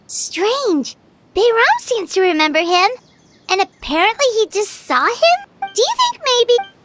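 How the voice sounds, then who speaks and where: A woman voicing a small, excitable female fairy companion in a video game, squeaky, childlike and eager. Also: measured pace, dry recording, exaggerated voice. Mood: puzzled, realizing. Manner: exclamatory, eager.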